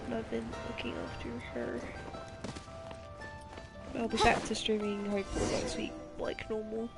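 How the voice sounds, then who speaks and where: A woman streamer with the sniffles, talking to her viewers in a halting, hesitant way full of pauses. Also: on the high side, flat delivery, loud music behind the voice. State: stuffy, has the sniffles. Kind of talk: casual live-stream chat.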